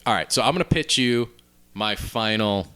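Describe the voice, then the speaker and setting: A man co-hosting a podcast, chatting informally and telling his ideas in a halting way. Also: on the low side, a bit bright, clear, resonant tone. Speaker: podcast co-host. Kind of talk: casual chat, pitching ideas among friends.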